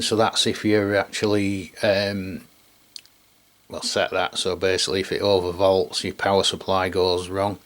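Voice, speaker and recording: A man with an English accent giving a casual, rambling hands-on product demonstration in colloquial speech, often ending on tag questions. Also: low voice. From England. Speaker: radio reviewer and video host.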